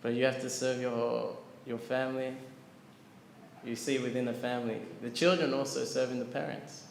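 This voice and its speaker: Man, scripture lecturer, earnest teaching tone, middle pitch, echoey hall, long reverb. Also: flat delivery, normal speed, gravelly, slight background noise. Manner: earnest teaching, asks audience questions. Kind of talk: devotional class with chanting.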